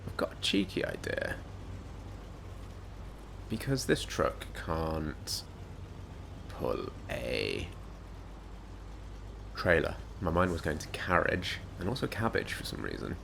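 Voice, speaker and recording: A man with a slightly croaky voice, giving rambling, self-mocking gameplay commentary. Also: deep voice, wildly swooping pitch, slow, pauses often, fairly bright tone, a little hiss or hum. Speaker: gaming YouTuber.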